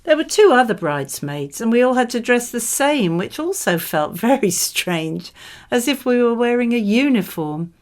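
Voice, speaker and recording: A woman reading scripted model answers for a speaking exam in a measured, expressive way. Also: on the high side.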